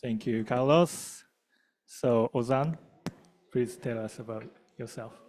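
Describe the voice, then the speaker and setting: A man with a Japanese accent casually moderating a panel in a halting, hesitant way. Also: mid-range voice, darkish tone. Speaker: panel moderator, event co-lead. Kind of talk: casual panel hosting before an audience.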